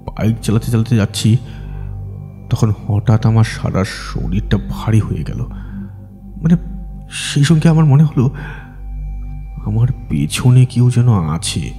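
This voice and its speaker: Man acting a low, frightened villager in deep reverb. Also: expressive, unhurried, pauses often, warm tone, faint music behind. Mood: fearful, suspenseful. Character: frightened village man recounting a night of ghostly terror. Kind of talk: dramatised horror audio story. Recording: long hall-like reverb.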